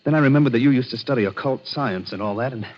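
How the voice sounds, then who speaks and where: A frightened young man played by an actor in a 1940s radio drama. He is high-strung and haunted, pleading as he speaks. The recording is dull and muffled with age. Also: racing, close to an everyday voice. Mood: haunted, fearful.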